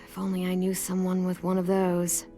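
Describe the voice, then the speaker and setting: A woman's voice: on the low side, monotone, normal speed, a little mellow, faint music behind, natural voice. An actress playing a wry, guarded but tender teenage girl survivor in a video game.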